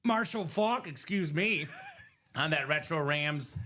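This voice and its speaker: Bright-voiced male card break host. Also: a little high, lively intonation, slow. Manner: upbeat, salesy running commentary. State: voice a bit worn from singing. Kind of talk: live stream selling chat.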